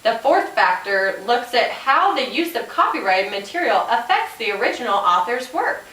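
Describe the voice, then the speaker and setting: Lady doing a helpful cop puppet giving a lesson, highish bouncy voice, echoey and a bit far off. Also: big pitch swings, moderate pace, sharp tone. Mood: instructive. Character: helpful police officer puppet, guest classroom speaker. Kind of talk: scripted educational puppet show. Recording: roomy, distant microphone.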